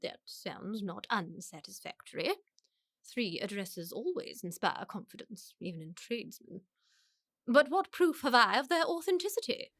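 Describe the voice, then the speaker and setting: An actress playing a haughty upper-class English society matriarch in a radio comedy, making pompous, emphatic, drawn-out pronouncements. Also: female voice, mid-range voice, expressive, fairly bright tone, no room sound, studio quality. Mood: imperious, sceptical. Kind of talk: radio play comedy of manners.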